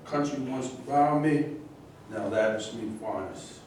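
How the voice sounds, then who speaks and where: A man's voice: medium pitch, normal speed, a little mellow, close to an everyday voice. Echoey, distant proud old boxer played by a man. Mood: proud, defiant. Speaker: actor in a staged reading. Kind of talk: theatrical monologue, staged reading. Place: live staged reading in a roomy space. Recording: very echoey room, distant mic, faint noise.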